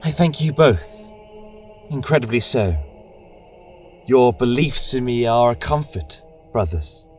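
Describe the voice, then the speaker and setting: A man voicing a serene female angel and warrior queen in a fantasy audio tale, soft, reverent and stately. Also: medium pitch, expressive, measured pace, pauses often, soft background music, lightly acted. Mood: grateful, calm.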